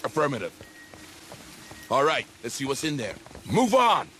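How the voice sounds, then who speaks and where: A man's voice: medium pitch, sing-song, normal speed, fairly bright tone, slight background noise. A man playing an eager, alert marine trooper giving short, punchy calls in a video game. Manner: short, punchy battlefield barks. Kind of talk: scripted video game dialogue.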